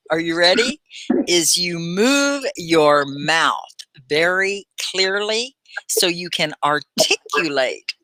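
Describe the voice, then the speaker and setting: Middle-aged female ESL teacher and host from Texas; animated, bright and bright-toned delivery. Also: fairly low pitch, dry recording, poor audio. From Texas, USA. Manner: animated, explanatory, laughs often. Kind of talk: live online English lesson.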